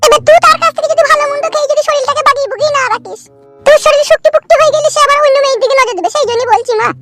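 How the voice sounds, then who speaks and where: A man voicing a bossy, nosy older village aunt in a comic cartoon, with a rural West Bengal accent, a pitched-up voice and a preachy, earthy manner. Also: rapid-fire. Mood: bossy advising. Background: music.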